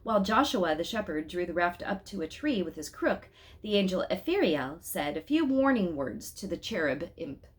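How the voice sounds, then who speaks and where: A woman reading a children's story aloud expressively, pausing to speak directly to her listeners with questions and asides. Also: fairly low pitch, sing-song.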